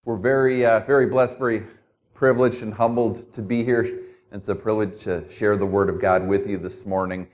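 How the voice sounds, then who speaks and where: A man's voice: fairly low pitch, fairly even intonation, moderate pace, darkish tone. A middle-aged man from Minnesota with a New Jersey accent, preaching a sermon as a guest pastor in an easygoing, conversational way with self-deprecating humor.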